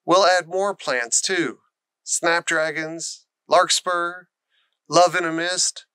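A man's voice: on the high side, fairly even intonation, slow, sharp tone, clean tone, no echo. A man voicing a friendly, eager country boy who loves animals in a storybook reading. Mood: enthusiastic.

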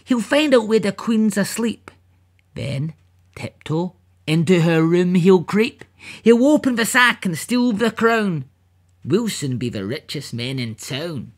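A woman voicing a sly, gleeful male robber in a children's storybook, gruff and theatrical. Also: fairly low pitch, sing-song, slow, heavily acted.

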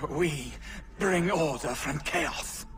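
An actor playing a scornful Templar commander in a game cutscene, speaking with haughty mockery. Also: male voice, a little high, noisy background. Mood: contemptuous. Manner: haughty, slow and mocking. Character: scornful male Templar commander, lordly and cold. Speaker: villain. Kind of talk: video game cutscene acting. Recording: score music underneath.

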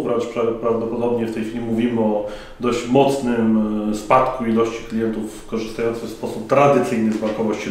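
A middle-aged man giving measured, formal answers as a conference panel moderator, standing by a banner at a conference. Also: fairly low pitch, a little mellow. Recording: echoey room from far off.

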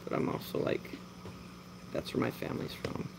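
A man's voice: moderate pace, background noise. A man in his thirties chatting casually on a live stream while he works in his shop, rambling with lots of fillers. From born in Germany, raised American. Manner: rambling, relaxed, talks while working, many fillers. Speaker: mantis breeder and shop owner. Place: dim indoor shop at night.

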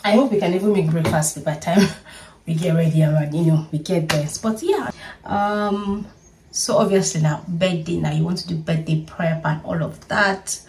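A woman's voice: on the low side, normal speed, recorded from a distance, noisy background. A woman, chatting playfully and laughing often as she gets ready at home in her bedroom. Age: 30s. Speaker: vlogger. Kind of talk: casual get-ready-with-me vlog.

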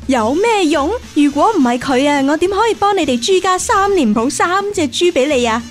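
Casting: woman to play high-pitched nagging, loving pig mummy, Hong Kong Cantonese, family radio play. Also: animated, moderate pace, faint music behind. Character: brisk nagging affectionate pig mum and wife. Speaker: radio drama voice actor.

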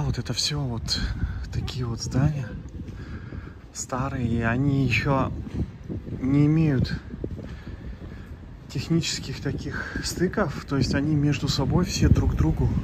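A man from Kazakhstan casually narrating a travel vlog, chatty and talking to his viewers as friends while walking streets and driving. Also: medium pitch. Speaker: travel vlogger. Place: outdoors in narrow town streets and in a car. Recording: outdoor noise in background.

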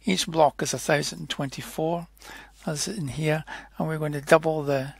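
A man narrating an informal technical tutorial in a hesitant, halting way, often correcting himself as he explains. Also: on the high side, steady tone, creaky, husky, dry recording. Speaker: software demonstrator.

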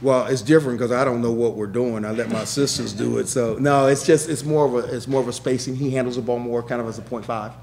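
A middle-aged man answering questions as a head coach at a press conference, with quick, emphatic answers and lots of restarts, speaking into a table mic in a press room. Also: mid-range voice, fairly even intonation, vocal fry, a crowd in the background. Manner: rapid, repetitive restarts, emphatic.

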